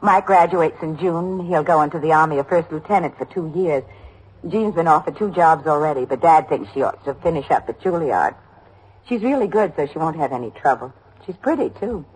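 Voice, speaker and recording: An actress playing a chatty, homely middle-aged American widow in a radio drama, rambling on eagerly to please. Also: female voice, deep voice, animated, brisk, darkish tone, slightly rough, rough recording. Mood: proud, chatty. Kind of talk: old-time American radio drama acting.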